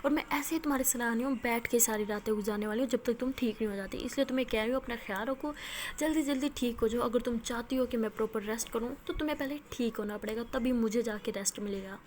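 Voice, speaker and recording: A woman's voice: on the high side, darkish tone, a little hiss or hum, lightly acted. A woman voicing an earnest young woman who insists on caring for her sick partner, in a told story.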